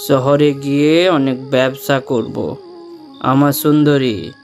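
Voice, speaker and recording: A man's voice: medium pitch, takes it slowly, a little mellow, soft background music. A man voicing a greedy, two-faced young village lover in a horror cartoon, sly and theatrical. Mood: scheming. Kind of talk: Bengali horror cartoon dialogue.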